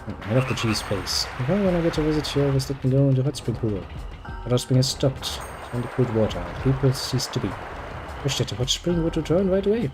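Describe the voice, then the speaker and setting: A man's voice: medium pitch, lively intonation, fast talker, over music, poor audio. A man reading game dialogue aloud and adding dry, sarcastic jokes as he plays. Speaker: gameplay video host.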